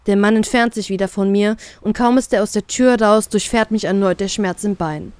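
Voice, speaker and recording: Female narrator, first-person audiobook style, scripted reading, medium pitch, dry recording. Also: moderate pace, a little mellow, clean tone, no echo. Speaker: narrator of a fan fiction story.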